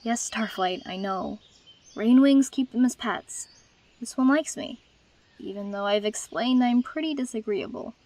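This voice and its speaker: A young dragon girl, acted by a woman with a highish voice, proud and hurt. She speaks in a dry, guarded, sarcastic way, as in an animated fantasy drama. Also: measured pace, natural voice. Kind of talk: dubbed fantasy drama acting.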